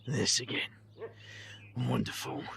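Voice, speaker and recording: A man's voice: slow pace, crowd noise, close to an everyday voice. An actor playing a wry, guarded young man, hesitant and sardonic, in an audio drama. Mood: bitter.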